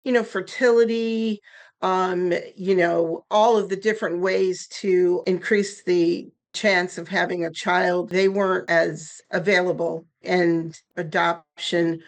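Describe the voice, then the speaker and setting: Woman, 60s, German as first language, lowish register, candid storyteller, interview guest. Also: slow. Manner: candid, lively storyteller with a ready laugh. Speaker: guest, nonprofit founder. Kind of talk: podcast interview.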